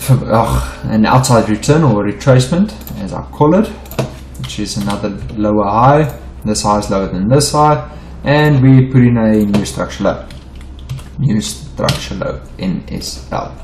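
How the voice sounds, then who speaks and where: A low-pitched South African man with Afrikaans as his mother tongue, talking through a chart lesson in a loose, halting way with restarts and repeats. He sounds like he's in an echoey room, with a faint hiss behind him. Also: animated, a little mellow. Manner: stumbling, repeats himself while explaining. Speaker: forex trading teacher. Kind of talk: unedited screen-share chart walkthrough.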